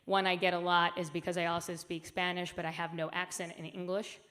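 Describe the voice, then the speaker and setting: A woman's voice: on the low side, level tone, fairly quick, fairly bright tone. Earnest woman giving a keynote talk. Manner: earnest, emphatic, frequent fillers. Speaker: conference speaker. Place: conference hall.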